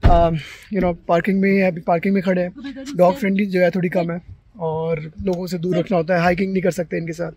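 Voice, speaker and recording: High-pitched man vlogging casually to camera. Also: little pitch movement, brisk, a little mellow. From India. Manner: chatty, conversational, addressing viewers. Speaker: travel vlogger. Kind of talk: casual vlog narration to camera, Hindi mixed with English. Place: outdoors at mountain overlooks and inside a car. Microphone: phone at arm's length.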